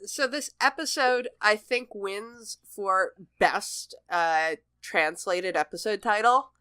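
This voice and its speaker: A woman's voice: mid-range voice, slow, sharp tone, smooth, no echo. A woman co-hosting a podcast in a casual, conversational style. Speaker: podcast co-host. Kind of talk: casual chat.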